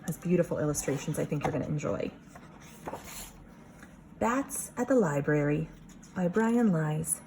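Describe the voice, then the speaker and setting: Unhurried low-voiced woman teaching young children. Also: vocal fry, slight background noise, low-quality recording. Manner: expressive, questioning, explaining to young children. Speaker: kindergarten teacher reading aloud. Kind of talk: children's picture book read-aloud with teacher asides.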